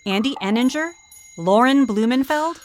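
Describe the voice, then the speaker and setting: A woman announcer reads scripted credits. Her voice is slightly high and animated, with prominent music behind it. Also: moderate pace.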